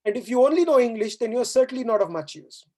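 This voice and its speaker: A woman's voice: fairly high pitch, animated, fast talker, darkish tone, breathy edge. A woman from India whose first language is Hindi, giving a formal valedictory speech as a guest speaker at a university event, earnest and exhorting, with rhetorical questions. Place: university auditorium.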